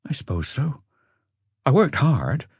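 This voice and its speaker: Weary, defensive suspect, read by a man, animated pitch. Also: a little slow, slightly rough, dry recording, studio quality, exaggerated voice. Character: weary, defensive married businessman under police questioning.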